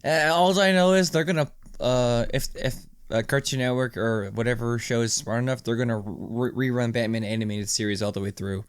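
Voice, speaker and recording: Smooth-voiced man chatting casually. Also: medium pitch, fairly even intonation, fairly quick, no room sound. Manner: informal, slangy. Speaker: podcast co-host. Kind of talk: casual chat.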